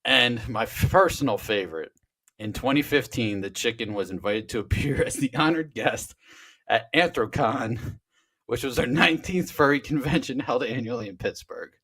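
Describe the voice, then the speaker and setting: A man's voice: mid-range voice, lively intonation, normal speed, fairly bright tone, husky. A man co-hosting a sports betting show, chatting casually with wry, joking asides. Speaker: podcast co-host.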